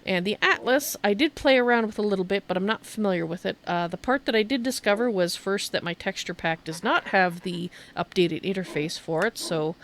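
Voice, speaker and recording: A woman's voice: mid-range voice, brisk, hardly any pauses, a bit bright, slight background noise. A woman giving a casual, chatty game tour as a streamer, thinking aloud as she shows things off. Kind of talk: casual gameplay commentary and show-and-tell.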